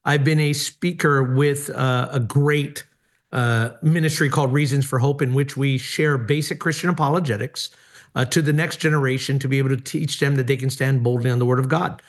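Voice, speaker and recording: A man's voice: medium pitch, steady tone, normal speed. A middle-aged man from Hawaii, a former pastor, telling his story earnestly with frequent hesitations. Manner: earnest, many hesitations and fillers. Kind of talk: personal testimony in interview.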